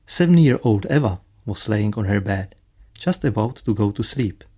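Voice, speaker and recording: A man's voice: fairly low pitch, animated, moderate pace, warm tone, husky. A man reading scripted narration as the narrator of a radio play.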